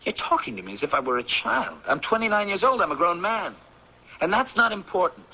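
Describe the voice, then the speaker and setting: An actor in an old-time radio drama playing an agitated, incredulous man of twenty-nine, excitable and protesting. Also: sits high, fairly quick, breathy edge, heavily acted. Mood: indignant, protesting. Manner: excitable, protesting, stammering when unsure. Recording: muffled, noisy vintage radio broadcast.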